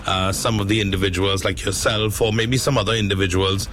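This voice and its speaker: A man with a Trinidadian accent and a lowish voice conducts a radio interview. He feels his way through questions, pausing and repeating phrases. There is noticeable background noise around him. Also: fairly even intonation, a bit bright. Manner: hesitant, halting, repetitive phrasing. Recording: clearly noisy background ambience.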